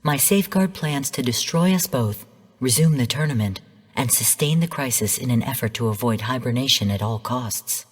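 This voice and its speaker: An actress playing a female artificial intelligence in a video game, speaking in a measured, formal, clinical manner. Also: extremely low pitch, animated, husky, full character voice. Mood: clinical, informative. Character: calm female rogue artificial intelligence mentor turned genocidal overseer. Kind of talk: scripted video game voice lines. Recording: long hall-like reverb.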